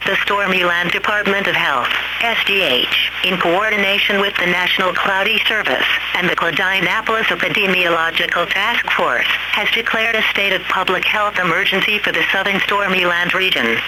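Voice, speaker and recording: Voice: mid-range voice, fairly even intonation, moderate pace, few pauses, very noisy. A synthetic-sounding announcer of unclear sex reading a scripted emergency alert in a formal, impersonal way with clipped headings.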